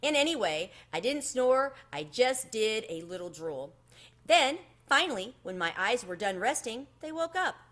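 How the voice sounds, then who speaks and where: A woman reading a children's book aloud expressively, in an animated storytelling manner. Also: mid-range voice. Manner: animated, acts out the dialogue.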